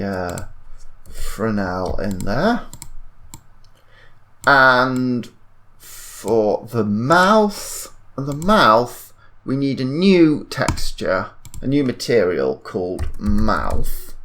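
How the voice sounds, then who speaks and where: A man narrating a software tutorial in a casual, informal way, thinking aloud as he demonstrates. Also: fairly low pitch, animated, very slow, vocal fry, long pauses. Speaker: tutorial presenter. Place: indoors at a computer, screen-recording voice-over.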